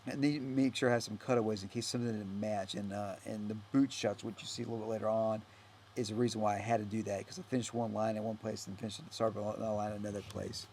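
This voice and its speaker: A man with a gravelly, creaky voice talks through a film as its director. His delivery is casual and unscripted, halting and rambling, often trailing off. There is noticeable noise in the recording. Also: on the low side, level tone, a little mellow. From United States. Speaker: filmmaker and actor giving director's commentary.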